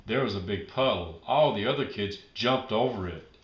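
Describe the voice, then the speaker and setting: A man narrating a children's storybook aloud in an expressive, emphatic storytelling manner. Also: on the low side, moderate pace, roomy sound, dull audio.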